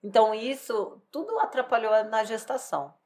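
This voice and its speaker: A woman's voice: on the high side, lively intonation, moderate pace, a little mellow, slight background noise. A woman in her thirties, a bodybuilding athlete, telling her life story in a candid, rambling way, full of fillers and restarts. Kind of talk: personal life story in casual chat.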